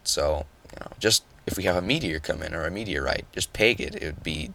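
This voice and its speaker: A young man hosting a solo podcast, nasal and a bit hoarse after yelling the day before, explaining things casually with fillers. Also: sits low, lively intonation, a little fast. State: hoarse from yelling, just woke up. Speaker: podcast host.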